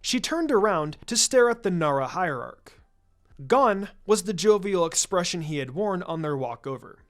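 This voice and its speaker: A woman's voice: fairly low pitch, big pitch swings, normal speed. A woman reading a story aloud in a scripted narration style. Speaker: fan fiction reader. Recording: background music under the voice. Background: music.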